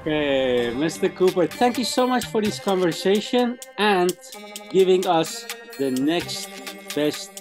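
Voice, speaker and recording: A man's voice: high voice, big pitch swings, unhurried, faint music behind. A man asking questions as a journalist in a conversational interview.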